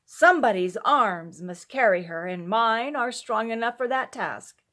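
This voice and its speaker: A woman's voice: a little high, lively intonation, unhurried, pushed and bright. A woman voicing a kind-hearted but resolute working man, a rural wheelwright, earnestly in a storybook. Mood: firm. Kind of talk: storybook character voice.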